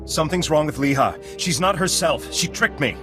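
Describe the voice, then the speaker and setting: Guy as anguished young jedi prisoner, high voice, begging, game scene with music over it. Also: expressive, normal speed, natural voice. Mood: pleading. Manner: pleading, distressed. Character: anguished, lovesick young male Jedi captive. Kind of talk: dramatic video game dialogue.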